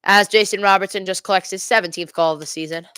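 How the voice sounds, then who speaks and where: A woman's voice: mid-range voice, steady tone, fast, fairly bright tone, smooth, no echo. Woman calling hockey, dry close sound. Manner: exasperated Devils fan, self-correcting, explains plays informally. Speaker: play-by-play commentator hosting a fan watch party. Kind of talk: live amateur hockey commentary.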